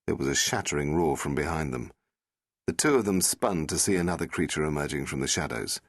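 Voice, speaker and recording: A man's voice: a bass voice, expressive, a little fast, dry recording, studio quality. A man reading an audiobook in a dramatic, suspenseful storytelling style. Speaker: audiobook narrator. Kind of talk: dramatic scripted narration.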